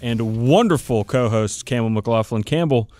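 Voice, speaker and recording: A man's voice: medium pitch, moderate pace, a little mellow. A man co-hosting a podcast in casual hobby chat, informal and enthusiastic, swearing freely. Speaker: podcast co-host.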